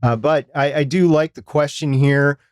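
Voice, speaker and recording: A man's voice: on the high side, fairly even intonation, moderate pace, a little mellow, clean tone, no echo, studio quality. A middle-aged man co-hosting a podcast, talking casually and plainly through practical options. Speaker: podcast co-host.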